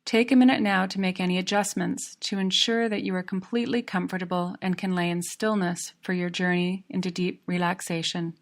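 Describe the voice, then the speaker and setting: A woman narrating a guided yoga relaxation in a calm, measured, soothing manner. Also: fairly low pitch.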